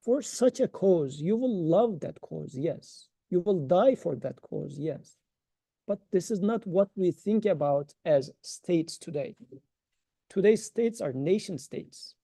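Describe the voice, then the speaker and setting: A man's voice: sits high, animated, slow pace, vocal fry, warm tone, no room sound. A man giving an informal religious lecture, earnest and emphatic, arguing his points and posing rhetorical questions. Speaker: religious teacher giving a talk.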